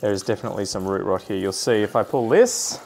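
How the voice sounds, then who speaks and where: Aussie guy in his 30s, lowish voice, rambling casually about his houseplants. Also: quick pace, gravelly, a little mellow, slight background noise, poor audio. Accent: Australian. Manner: chatty, self-deprecating, thinks aloud. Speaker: plant vlogger. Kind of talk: casual chat while doing chores. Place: lounge room full of houseplants.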